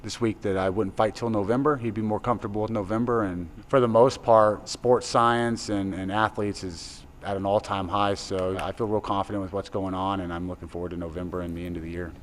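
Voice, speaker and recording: A man's voice: low voice, steady tone, a little fast, few pauses. A male fighter giving casual, plain-spoken and upbeat answers in an interview. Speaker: professional fighter being interviewed. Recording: reverberant hall with faint noise.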